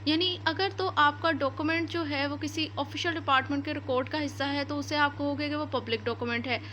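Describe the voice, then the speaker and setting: A woman with a Pakistani accent delivers an instructional lecture in a high, bright voice. She explains step by step and rephrases points for clarity, switching into English terms. Faint noise sits behind her. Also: fairly even intonation, fairly quick. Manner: didactic, repeats and rephrases points. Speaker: law lecturer.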